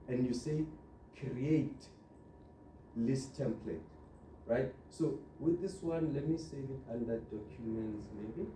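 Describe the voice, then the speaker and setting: A man giving an informal step-by-step software tutorial, instructing and often checking in with the listener. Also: medium pitch. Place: indoor room, filming a computer monitor. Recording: roomy echo, distant mic, clear background noise.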